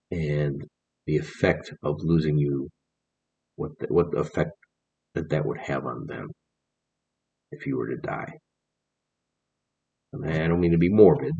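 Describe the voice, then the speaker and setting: A man's voice: rumbling low, creaky, darkish tone, husky, dull audio. A man in his forties giving an earnest, rambling talk to camera in a casual vlog, with many fillers and restarts. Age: 40s. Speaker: vlogger. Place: indoors, on camera.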